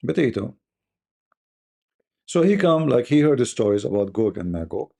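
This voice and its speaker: Arabic-accented guy hosting a debate stream, gravelly and husky, blunt and scornful. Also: mid-range voice, sing-song, moderate pace, warm tone. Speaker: host. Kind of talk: live debate stream talk.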